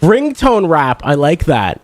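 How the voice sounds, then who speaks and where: A man's voice: on the high side, huge pitch swings, slow pace. A young man streaming a card game, chatting casually in a rambling way full of fillers, and stuffed up with congestion. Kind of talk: casual chat while playing a card game.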